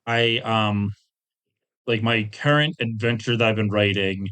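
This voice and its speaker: A middle-aged man chatting casually as an interview guest, talking in a rambling, halting way and often correcting himself. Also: fairly low pitch, fairly even intonation, a bit bright, smooth. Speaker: podcast guest, tabletop game designer.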